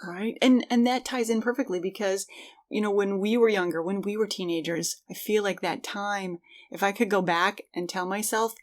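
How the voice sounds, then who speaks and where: A woman's voice: medium pitch, steady tone, normal speed. A middle-aged woman co-hosting a podcast, talking in a casual, candid and reflective conversational way. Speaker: podcast co-host.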